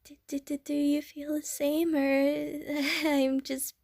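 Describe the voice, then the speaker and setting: A woman's voice: sits high, level tone, slow, vocal fry, a little mellow, no echo, clean studio sound, exaggerated voice. A woman playing an innocent young water slime creature in an audio roleplay, with a childlike, playful, gushing manner. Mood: shy, nervous. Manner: childlike, playful, gushing, punctuated with little bloop noises. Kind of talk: audio roleplay addressed to the listener.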